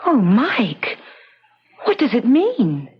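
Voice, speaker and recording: An actress playing an anxious, bewildered young wife in a radio mystery drama, hesitant and fretful. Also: female voice, on the high side, wildly swooping pitch, very slow, breathy edge, exaggerated voice. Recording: dull lo-fi radio recording.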